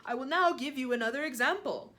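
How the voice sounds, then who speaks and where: A woman voicing a huge, boastful but friendly storybook giant who speaks emphatically in drawn-out, muddled comic grammar. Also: sits high, sharp tone, pitched above the performer's own voice. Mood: blustering, opinionated. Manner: emphatic, drawn-out, mangled words.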